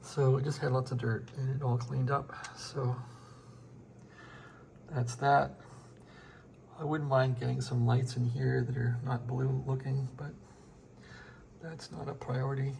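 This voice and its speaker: A gravelly-voiced American man talks casually through a hobby project at an ordinary male pitch. His delivery is unscripted, folksy and rambling. It is recorded on a handheld phone with faint noise behind him. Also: warm tone. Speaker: radio hobbyist showing a restoration project. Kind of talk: casual unscripted show-and-tell.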